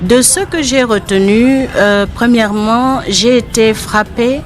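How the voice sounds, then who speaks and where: A woman from Cameroon answering interview questions as an insurance agent, explaining things in a measured way. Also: fairly high pitch.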